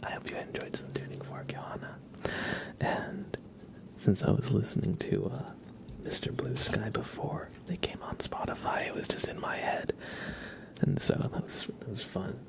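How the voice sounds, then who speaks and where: A man hosting an ASMR livestream, chatting casually and softly to viewers in an unhurried way, close to the microphone. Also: medium pitch, gravelly. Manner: soft-spoken, mostly whispering, unhurried.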